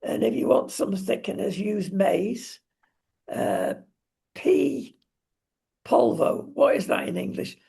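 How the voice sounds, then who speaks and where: Husky, creaky high-pitched male talk show host. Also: lively intonation, slow pace, long pauses, warm tone. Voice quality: husky, gravelly. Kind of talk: opinionated casual discussion.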